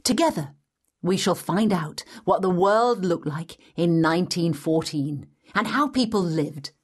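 A woman's voice: lowish voice, animated, measured pace. A woman playing a welcoming guide through history, delivering scripted educational narration in an explanatory way. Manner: clear, explanatory.

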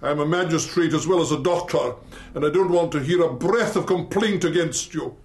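Stern doctor-magistrate , male actor: high-pitched, commanding, clipped and admonishing, unafraid, period radio drama. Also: normal speed, close to an everyday voice. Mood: stern warning.

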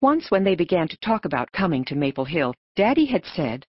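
Low-voiced, expressive woman narrator. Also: a little fast, breathy edge, dry recording, muffled recording. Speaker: audiobook narrator. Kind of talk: scripted story narration.

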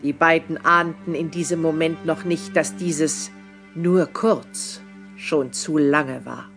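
A man's voice: on the high side, fairly even intonation, slow pace, gravelly. A man playing the narrator of a horror audio drama, reading scripted, ominous storytelling. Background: prominent music.

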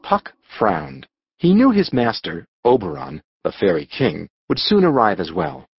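Husky, lively male storybook narrator. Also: fairly low pitch, no echo, muffled recording. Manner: measured, expressive storytelling. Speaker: audiobook narrator.

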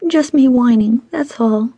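A woman's voice: fairly high pitch, expressive, moderate pace, mellow, husky, no echo, lo-fi sound, pitched above the performer's own voice. A woman narrator playing a flirty, pouting single woman with a teasing whine in an audiobook story. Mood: whiny, pouting.

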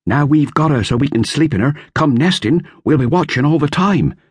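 Man doing a bossy lad, blunt and high-pitched, for a storybook. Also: expressive, brisk, darkish tone, breathy edge, dry recording. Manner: blunt, commanding. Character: young boy Bill, bossy and fierce. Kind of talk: audiobook character voice.

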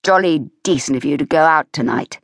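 A woman voicing a kind young male army officer who speaks in a gentle, caring way. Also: deep voice, brisk, dry recording, studio quality.

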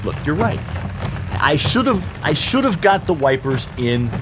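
A man's voice: on the high side, very animated, a little slow, background noise. A man playing a grumpy, exasperated husband in a comic audio drama, irritable and sarcastic with muttered grunts. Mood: grudging. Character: grumpy exasperated husband driving through a rainstorm.

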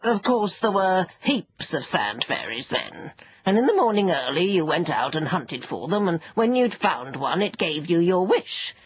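A woman's voice: fairly low pitch, sing-song, measured pace, husky, dry recording, lo-fi sound, exaggerated voice. A woman voicing an ancient, furry sand fairy from a children's storybook, crotchety, haughty and scornful. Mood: reminiscing. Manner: scornful, peevish, self-important.

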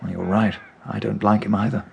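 A man's voice: on the low side, flat delivery, fairly quick, highs cut off, slight background noise. An actor playing a fretful, possessive older English father in a radio drama, terse and grumbling. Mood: grumbling, weary. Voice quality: gravelly, husky.

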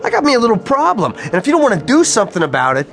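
A man's voice: very high-pitched, wildly swooping pitch, racing, vocal fry, a bit bright, full character voice. An actor playing a volatile working-class tough guy in a radio drama, brash and sarcastic with slangy street talk. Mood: angry, impatient.

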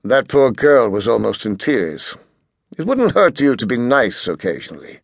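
A huge, understated bodyguard , read by a male narrator in a storybook. He is calm and terse, with a mid-pitched voice recorded dry and close. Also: normal speed, gravelly, no room sound. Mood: wry, chiding.

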